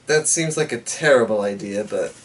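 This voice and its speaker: A man reading a story aloud and rambling to himself, with hesitant, drawn-out musing and muttered asides. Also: on the low side. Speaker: host and story reader. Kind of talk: rambling solo chat and dramatic story narration. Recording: distant mic with faint background noise.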